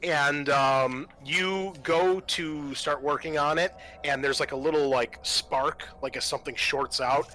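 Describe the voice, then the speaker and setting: Bright-voiced man running a tabletop game. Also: fairly high pitch, steady tone, measured pace, few pauses, poor audio. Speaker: game master. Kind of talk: narrating a tabletop roleplaying game with friends. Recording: faint music behind the voice.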